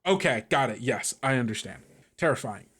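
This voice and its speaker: A man's voice: medium pitch, animated, moderate pace, a bit bright, husky. A man acting as game master, mixing improvised narration with informal table talk and talking the rules through as he goes.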